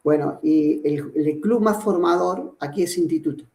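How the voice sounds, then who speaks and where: A man's voice: fairly high pitch, moderate pace, darkish tone. A middle-aged man from Córdoba with an Argentine accent, a football coach explaining his ideas as a podcast guest with many fillers and restarts. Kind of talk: podcast interview conversation. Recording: roomy echo.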